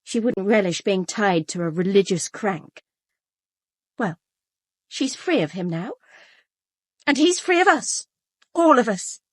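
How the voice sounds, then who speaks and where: A woman's voice: mid-range voice, lively intonation, unhurried, long pauses, dry recording, studio quality. An actress playing a brittle, bitter young upper-class British woman in a radio drama, with a clipped, caustic manner. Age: young adult.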